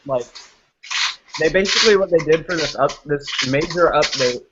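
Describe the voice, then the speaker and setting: A man's voice: on the high side, moderate pace. A man from Texas in casual, slangy gaming chat, talking in a laid-back, rambling way. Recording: dull lo-fi stream audio with noise.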